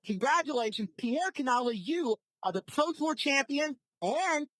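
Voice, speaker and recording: A man doing play-by-play commentary on a live card game match, brisk and informative, calling each play and counting the damage. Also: very high voice, lively intonation, a bit bright, no echo.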